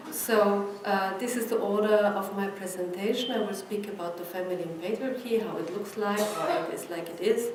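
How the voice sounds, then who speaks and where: Female academic speaker, Austrian German accent, mid pitch, halting lecture delivery, heard from afar in a very echoey room. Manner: halting, searching for words. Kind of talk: conference lecture in non-native English. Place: presentation room with an audience. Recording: echoey room, distant mic, noisy background.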